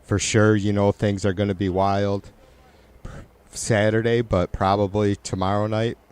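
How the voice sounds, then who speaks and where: A middle-aged man with a nasal, raspy voice, hosting a livestream and chatting loosely with viewers, rambling and swearing. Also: on the low side, flat delivery, a little slow, darkish tone. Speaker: livestream host. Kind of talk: casual chat with live viewers.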